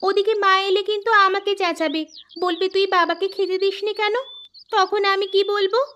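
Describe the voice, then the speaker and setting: A woman voicing a caring, gently nagging young village daughter in a cartoon. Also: extremely high pitch, fairly even intonation, moderate pace, slight background noise. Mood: fussing, caring. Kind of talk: Bengali cartoon dubbing.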